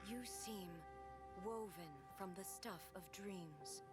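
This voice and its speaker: An actress playing a solemn, regal female ruler in a game trailer, speaking in a grave, stately, measured way. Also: mid-range voice, lightly acted. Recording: loud trailer music underneath.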